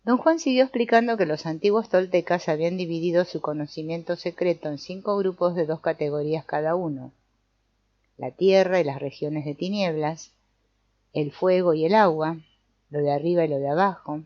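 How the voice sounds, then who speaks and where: A woman narrating an audiobook in a steady, even reading voice with the occasional slip. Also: fairly low pitch, normal speed, dry recording. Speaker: audiobook reader. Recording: dull, muffled audio.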